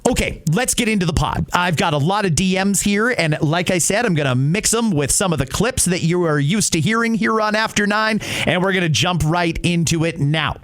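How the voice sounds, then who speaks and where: A man's voice: sits high, animated, moderate pace, few pauses, a bit bright. A middle-aged man, a radio-trained podcast host talking casually and directly to listeners in short conversational phrases.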